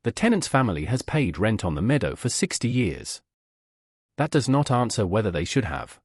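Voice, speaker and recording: A man voicing a principled, self-restrained Regency duke in an audiobook, speaking earnestly and deliberately. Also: fairly low pitch, sing-song, breathy edge, dry recording, studio quality, exaggerated voice. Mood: thoughtful.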